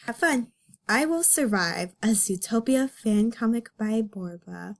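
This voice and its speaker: Slow-paced, higher-voiced woman chatting casually. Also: expressive, vocal fry. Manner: playful, sarcastic, self-mocking. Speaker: host of a comic dramatic reading. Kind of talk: casual chatty commentary with mock drama.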